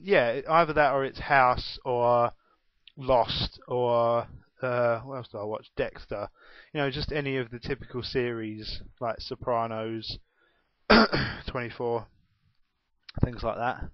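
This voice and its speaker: A well-spoken young Englishman in his twenties rambles through a casual home vlog, self-mocking and quick to laugh. His mid-pitched voice comes across dull and muffled. The small room sounds dead dry, with no echo at all. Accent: well-spoken southern English. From England. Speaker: gaming YouTuber.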